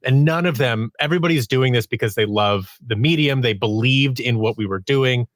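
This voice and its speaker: Animated, jokey man, dry close sound. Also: mid-range voice, moderate pace, dry recording. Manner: animated, jokey, self-interrupting storyteller. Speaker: podcast guest, audio drama writer and producer. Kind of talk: casual interview chat with acted-out quotes.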